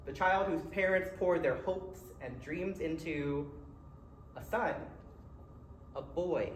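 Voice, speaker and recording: A man with a Philadelphia accent giving a personal keynote speech in a conversational way, with short dramatic phrases and wry asides. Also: a little high. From Philadelphia area, United States. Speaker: keynote speaker. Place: college event room. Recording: roomy, distant mic with faint noise.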